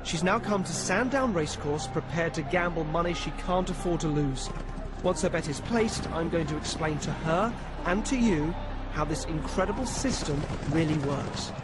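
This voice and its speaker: A man presenting a television show, calmly narrating and explaining things in a measured way. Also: a little high, very noisy. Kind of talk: scripted narration and on-location talk. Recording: music playing under the voice.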